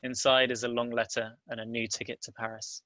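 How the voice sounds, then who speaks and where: A man's voice: mid-range voice, little pitch movement, fairly quick, bright, forceful tone, no room sound. A man reading out stage directions as the narrator of a script table read.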